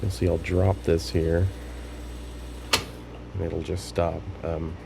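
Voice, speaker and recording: Deep voiced guy doing a casual demo, kinda halting and unsure explaining stuff, pretty noisy recording. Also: flat delivery, gravelly. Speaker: hobbyist demonstrating a tape machine repair. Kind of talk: unscripted casual demonstration to camera.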